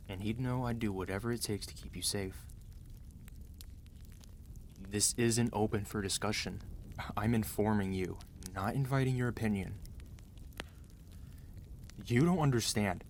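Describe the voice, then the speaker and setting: Man acting as low-voiced, protective mafia boss husband. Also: a little mellow, slight background noise. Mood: stern, worried. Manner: earnest, commanding yet tender, measured. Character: protective, gentle mafia boss husband, ruthless outside, devoted. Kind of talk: intimate ASMR romance roleplay, speaking to the listener.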